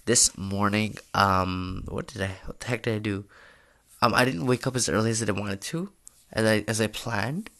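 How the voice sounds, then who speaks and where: A young man who has lost his voice, giving a tired, low-key late-night audio diary recap. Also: moderate pace, gravelly, bright, forceful tone.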